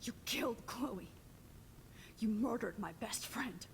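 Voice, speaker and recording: Hushed, defiant young captive. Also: female voice, a little high, takes it slowly, gravelly, slight background noise. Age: young adult. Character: determined, defiant young woman held captive. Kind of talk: video game voice acting.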